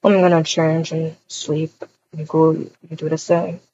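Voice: medium pitch, flat delivery, a little slow, noisy background, lightly acted. A performer playing a laid-back, cocky young man in a casual roleplay, speaking in an offhand, teasing way. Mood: low-key. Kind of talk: casual improvised roleplay acting. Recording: dull, lo-fi home microphone.

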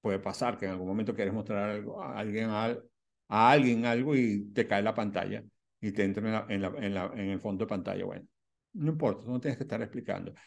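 A man's voice: fairly low pitch, brisk, creaky. A man in his sixties speaking to camera as a channel host, explaining didactically with emphatic repetition and questions he answers himself. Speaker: host of a spirituality and prosperity channel. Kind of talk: direct-to-camera advice vlog. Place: small indoor room at home.

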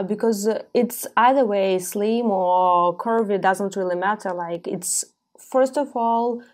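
A woman's voice: medium pitch, darkish tone. Female podcast host, Ukrainian accent, reflective delivery that halts and restarts often. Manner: thoughtful, halting with many fillers. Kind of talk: casual interview chat.

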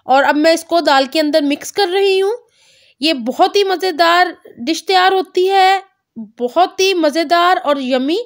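A woman narrating a home cooking recipe step by step, calmly naming each ingredient and measure. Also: very high-pitched. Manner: calm instructive, naming measures. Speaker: cooking channel host.

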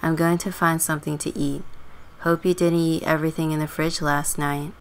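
Woman as a low-voiced, sardonic teenage runaway girl. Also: little pitch movement, moderate pace, background noise. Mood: casual. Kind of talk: scripted life-simulation game story.

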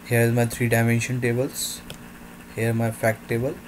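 A man narrating a software demo in halting, non-native English phrasing. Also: mid-range voice, monotone, background noise.

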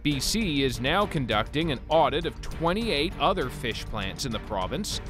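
A man's voice: fairly high pitch, sing-song, normal speed, music in the background. Polished male TV news host. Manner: polished broadcast delivery. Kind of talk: news narration and interview. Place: TV studio. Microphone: lapel.

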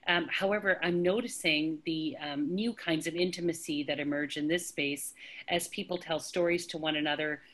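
Low-voiced woman on a video call. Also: steady tone, moderate pace, a bit bright. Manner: thoughtful, hesitant with many fillers. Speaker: panelist. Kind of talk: online panel discussion. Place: home indoors.

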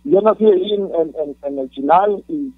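Man, friendly and halting, high voice, dry phone sound. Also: no echo. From Sonora, Mexico. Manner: friendly, halting with repeated words. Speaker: songwriter guest. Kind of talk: casual interview by phone. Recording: dry tinny phone line.

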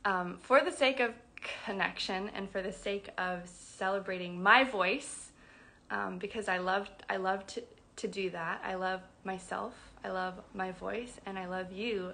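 Bright-voiced young woman who stutters. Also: medium pitch, fairly even intonation, creaky. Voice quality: stutters with sound repetitions. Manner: earnest, affectionate, slow-paced. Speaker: program director. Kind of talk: casual livestream announcement. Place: indoors at home, small room. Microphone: phone camera at arm's length.

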